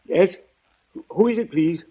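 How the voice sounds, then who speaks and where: An actor playing a desperate, earnest doctor and snake expert in an old-time radio drama, polite, halting and pleading. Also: male voice, sits high, music in the background. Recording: dull, dry vintage radio recording.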